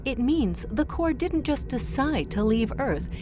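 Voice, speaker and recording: A woman playing a sharp, commanding, sarcastic starship crew member in an audio drama, speaking in a forceful, exasperated way. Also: high voice, very animated, measured pace, music in the background. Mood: grimly reasoning.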